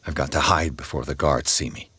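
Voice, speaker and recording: A man's voice: extremely low pitch, steady tone, a little fast, pushed and bright, breathy edge, full character voice. A man playing a tense, bewildered investigator on the run in a video game, speaking in a terse, urgent, questioning manner. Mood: urgent, guarded. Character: tense, bewildered male investigator escaping captivity, pressing for answers. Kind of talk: dramatic video game voice acting.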